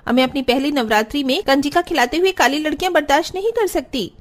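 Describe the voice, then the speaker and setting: Woman acting a spiteful young Indian-family sister-in-law, very high voice, bossy, scornful, cartoon dialogue. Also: fast talker, close to an everyday voice. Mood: demanding. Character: spiteful, colour-prejudiced young sister-in-law, haughty and demanding. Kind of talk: Hindi cartoon story dialogue.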